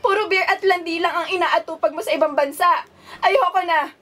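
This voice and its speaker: A woman acts out a wronged wife and mother in an amateur radio drama. Her voice sits very high and carries raw hurt and anger. There is light noise behind her. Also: steady tone, a little fast, fairly bright tone. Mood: tearful anger.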